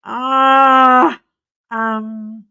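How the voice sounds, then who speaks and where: Woman, middle-aged, slightly froggy voice, animated storyteller, unhurried chat, dry close sound. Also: vocal fry, smooth, no room sound, highs cut off. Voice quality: animated storyteller, swooping pitch on greetings. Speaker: live stream host. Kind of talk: casual live chat with viewers.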